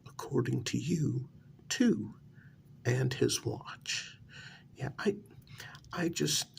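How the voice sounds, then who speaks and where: A man playing an eerily calm asylum patient in a soft ASMR role-play, breathy and gravelly, with a measured, hesitant, quietly condescending delivery. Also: mid-range voice, slight background noise. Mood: wryly exasperated. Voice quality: gravelly, breathy, half-whispered. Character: eerily calm male asylum patient who believes he creates reality.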